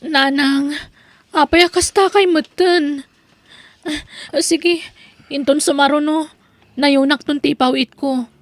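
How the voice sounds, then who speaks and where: A woman's voice: high voice, steady tone, slow, pauses often, no room sound. A woman with an Ilocano accent playing a tired young woman working in the city for her family. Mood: weary. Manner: patient, apologetic.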